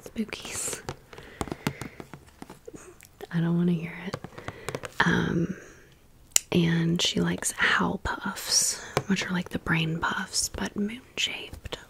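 A woman doing a chatty, rambling ASMR storytime in a breathy whisper with some creak and a wry manner. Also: lowish voice, level tone, very slow, long pauses, a bit bright. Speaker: ASMR host.

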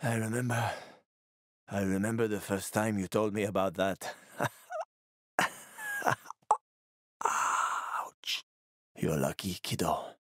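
An actor playing a wry, roguish middle-aged survivor in a video game, with a sardonic, slangy, casual way of talking. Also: male voice, on the low side, steady tone, measured pace, long pauses, a bit bright, no echo, clean studio sound. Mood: subdued, tender. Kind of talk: video game character acting.

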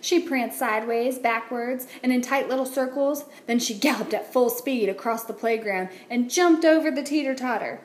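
A woman, a teacher, reading a children's picture book aloud with expressive, deliberate phrasing. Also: on the high side. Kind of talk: read-aloud storytelling for children. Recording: roomy echo, light background noise.

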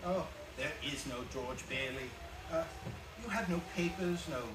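A man playing a gentle, earnest, centuries-old angel, patiently explaining things in a live radio play. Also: a little high, a little slow, natural voice. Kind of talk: live 1940s-style radio play acting. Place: small theatre stage, indoors. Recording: roomy, distant, noisy theatre audience recording.

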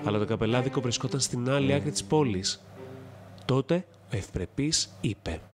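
A man's voice: mid-range voice, lively intonation. A man reading scripted fairy-tale narration as a storybook narrator. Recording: music bed behind the voice. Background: instrumental music.

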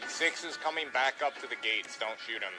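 Male gamer, team leader, commanding, urgent, repetitive callouts, clear music in the background. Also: mid-range voice, a little fast, poor audio. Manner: urgent, commanding, repeats orders. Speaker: gamer leading a multiplayer roleplay team. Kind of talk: live gameplay team callouts. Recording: music behind the voice.

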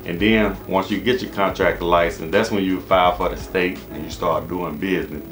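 An American man with a deep voice gives informal advice as a channel host. He rambles and repeats himself in a casual, conversational way. Music plays behind his talk. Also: steady tone, brisk, a bit bright. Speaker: HVAC business coach and channel host. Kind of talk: casual advice monologue to camera. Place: small indoor office.

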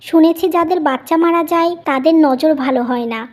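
A cold, suspicious young mother in a cartoon family drama , put on by a woman. Her voice is very high, and she talks in a curt, accusing, dismissive way. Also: normal speed, lightly acted. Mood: cold, hostile. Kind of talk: Bengali animated family melodrama.

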